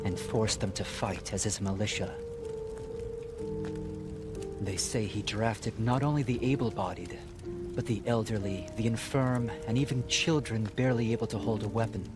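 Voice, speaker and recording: An actor playing a solemn, measured man who recounts a war formally in a fantasy game. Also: on the low side, lightly acted. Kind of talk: dramatic storytelling in a fantasy game cutscene.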